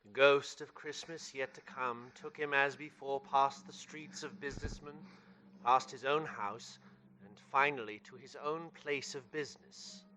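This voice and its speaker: Bright-voiced male radio play narrator. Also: medium pitch, fairly even intonation, low-quality recording. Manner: measured, dramatic storytelling.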